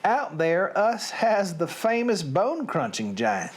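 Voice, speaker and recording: Booming, boastful male giant for a storybook, Southern US drawl, broken grammar, swooping pitch performed by a male reader. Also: big pitch swings, slow pace, exaggerated voice. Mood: boisterous, boastful. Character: big friendly giant, male, booming, boisterous and ungrammatical. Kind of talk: storybook character reading.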